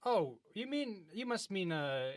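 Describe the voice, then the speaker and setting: A man's voice: high voice, big pitch swings, a little slow, no echo, studio quality. A man hosting an improvised comedy talk-show interview, speaking in a hesitant, earnest, wide-eyed way.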